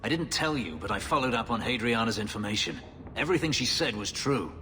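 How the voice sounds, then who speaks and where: A man's voice: medium pitch, expressive, fast, pushed and bright, big-room sound, a little hiss or hum. An actor playing a bitter, brooding male elf warrior and former slave, speaking in a grave, terse, restrained way. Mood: worried.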